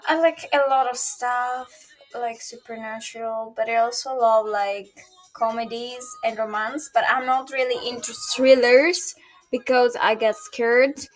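A woman's voice: fairly high pitch, fairly even intonation, a little slow, rough recording. A woman in her early twenties from Greece with a Greek accent, chatting casually as a podcast host in a rambling, hesitant way. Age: early 20s. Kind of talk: casual solo podcast chat. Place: bedroom at home. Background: chill R&B background music.

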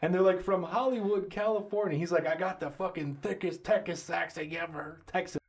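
Dude telling a funny story on a podcast, does all the voices, kinda high pitched. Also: male voice, brisk, slightly rough. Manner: animated storyteller acting out both sides of dialogue. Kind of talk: casual storytelling chat.

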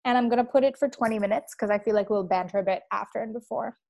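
A woman's voice: on the high side, fast talker, creaky, a little mellow. A woman hosting a casual podcast, asking her guest questions and laughing along. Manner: friendly interviewer, asks and laughs along. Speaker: podcast host. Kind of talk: casual chat.

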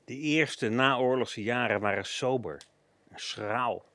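A man's voice: medium pitch, slow pace, pushed and bright, no room sound. A man narrating a scripted documentary-style radio play, presenting background facts as a storyteller.

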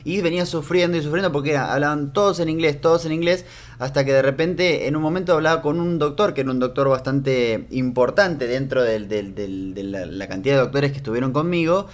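An Argentine man telling a personal story in a casual, animated and humorous way, with frequent restarts. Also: fairly high pitch, fairly quick. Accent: Argentine Spanish.

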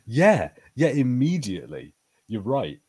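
A man's voice: mid-range voice, wildly swooping pitch, a little slow, dark tone, husky. A man co-hosting a podcast, giving his opinions in casual pop-culture banter. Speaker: podcast co-host.

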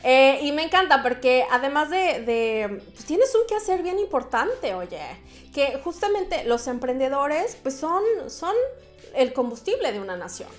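Bubbly high-voiced woman host. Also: very animated, unhurried, faint music behind. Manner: chatty, upbeat, many fillers. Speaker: podcast host. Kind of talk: casual interview.

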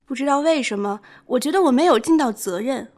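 A woman's voice: high voice, animated, a little fast, a little mellow. A woman playing an earnest, troubled young woman doctor in an amateur radio drama. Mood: downcast.

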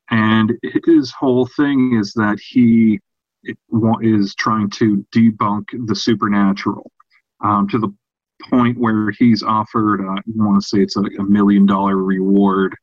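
A man co-hosting a casual podcast discussion about fiction, talking in a halting way with repeated and restarted phrases. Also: lowish voice. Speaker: podcast co-host. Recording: dull, roomy lo-fi sound.

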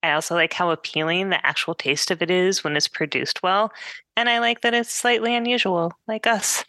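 A woman's voice: on the low side, fairly even intonation, fairly quick, sharp tone, dry recording. A woman chatting casually as co-host of a podcast. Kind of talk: casual conversational podcast chat.

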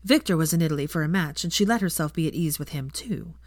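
A woman's voice: low voice, fast talker, dry recording, studio quality. A woman reading fiction aloud as scripted audiobook narration.

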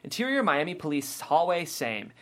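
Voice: medium pitch, big pitch swings, moderate pace. A narrator reading scripted stage directions aloud at a table read.

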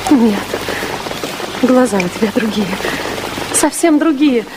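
A girlish, high-pitched young sweetheart, confides anxiously and openly. The acting is that of 1960s radio theatre, with clear noise over the recording. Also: lively intonation, takes it slowly. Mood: anxious, confiding. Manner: girlish, confiding, emotionally open. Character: anxious, candid nineteen-year-old sweetheart from a professional family. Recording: noisy 1960s radio recording, rain-like hiss.

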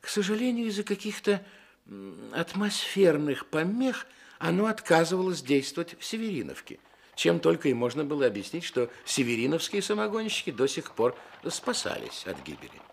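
A man narrating a literary story for a radio play, with wry, measured and expressive phrasing. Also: a little high, vocal fry, breathy edge, heavily acted. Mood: fond, ironic.

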